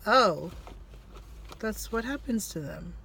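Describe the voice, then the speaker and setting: A woman's voice: medium pitch, animated, takes it slowly, vocal fry, sharp tone, a little hiss or hum, poor audio. A woman co-hosting a casual film review, with a blunt, rambling, sardonic way of talking. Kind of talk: casual unscripted review chat.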